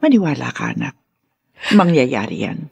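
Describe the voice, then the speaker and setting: An older Filipino mother in a radio drama. Her voice is low and husky with a gravelly creak, and she consoles in a gentle, earnest way. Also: sing-song, moderate pace, no echo, full character voice. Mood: gentle, reassuring. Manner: soothing, reassuring, earnest.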